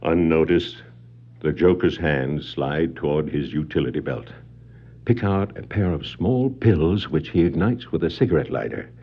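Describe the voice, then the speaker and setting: An actor narrating a scripted 1960s superhero adventure record as a dramatic, portentous storyteller. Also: male voice, extremely low pitch, expressive, normal speed, a little hiss or hum. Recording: muffled 1960s vinyl record.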